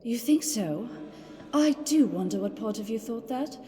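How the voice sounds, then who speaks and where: An actress playing a cruel, haughty dark-elf warrior in a fantasy audio drama, mocking and condescending. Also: female voice, on the high side, animated, a little slow, slight background noise. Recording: long hall reverb, music bed underneath. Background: music.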